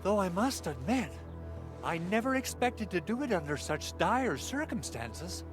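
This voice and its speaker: A man voicing an eager, excitable young engineer in video game story dialogue. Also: sits high, unhurried. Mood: excited, earnest. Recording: music behind the voice.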